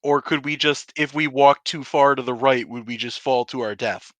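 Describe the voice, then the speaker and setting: A man's voice: medium pitch, fairly even intonation, normal speed, a bit bright, no room sound. A man playing a tabletop role-playing game, reasoning practically aloud in casual, improvised chat.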